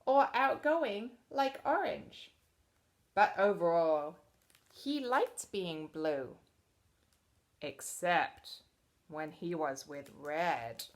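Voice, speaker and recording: A woman teacher reading a storybook aloud to children expressively, pausing to explain things in simple words. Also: on the low side, expressive.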